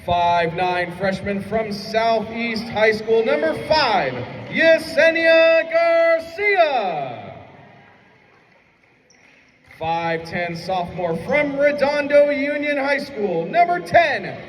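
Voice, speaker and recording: Echoey, high-pitched male arena announcer. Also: lively intonation, slow pace, a little hiss or hum. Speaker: public address announcer. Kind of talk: formal arena announcing of a basketball game. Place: indoor college basketball gym. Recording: echoey PA in a gym, distant mic.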